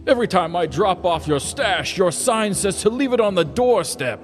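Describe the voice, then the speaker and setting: Casting: man to play kindly old wizard, higher-pitched, grand and earnest, fantasy parody audio, light music underneath. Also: animated. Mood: wry, solemn. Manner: grand, drawn-out storybook phrasing. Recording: music playing behind.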